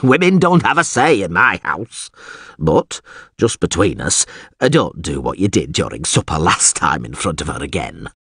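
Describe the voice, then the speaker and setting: A man's voice: sits low, sing-song, moderate pace, fairly bright tone, slightly rough, no echo, clean studio sound, voice pushed down, heavily acted. A man voicing a podgy, hot-tempered, blustering small-town alderman in an audiobook, full of exclamations and hesitations. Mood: blustering, chatty. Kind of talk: audiobook character acting.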